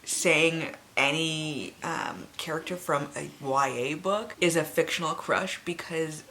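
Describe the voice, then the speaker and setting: Woman, late 20s, low register. Casual book vlogger, candid, self-deprecating, loosely rambling. Faintly noisy home recording. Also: slow, sharp tone. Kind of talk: casual, chatty book vlog.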